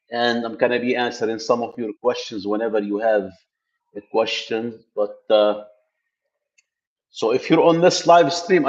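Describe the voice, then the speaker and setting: A man's voice: mid-range voice, lo-fi sound. A middle-aged man from Lebanon hosting a casual tech live stream from a gym, talking loosely with many fillers and restarts. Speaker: tech channel host. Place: building gym, indoors.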